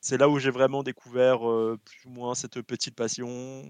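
A young man from French Guiana, a guest in an interview, speaking in a relaxed way with constant hesitations. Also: medium pitch, normal speed, smooth. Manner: relaxed, very hesitant with constant fillers, laughs often.

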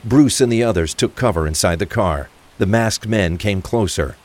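A man's voice: lowish voice, normal speed. A man reading scripted narration for a crime thriller audio drama. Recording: faint rain and traffic ambience. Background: rain, vehicle sounds.

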